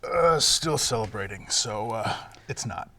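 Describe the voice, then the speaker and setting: A man acting a worn, hard-bitten fifty-year-old police detective in a screenplay table read, gruffly casual and unhurried. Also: lowish voice, expressive, bright, forceful tone. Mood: wry, dismissive. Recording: faint noise with clicks.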